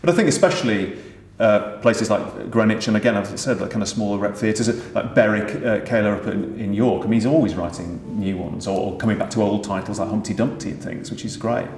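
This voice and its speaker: A man, a pantomime writer and performer, talking through his ideas in a thoughtful, halting way with many fillers. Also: fairly low pitch, a little mellow. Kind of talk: conversational discussion. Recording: echoey room with faint background noise.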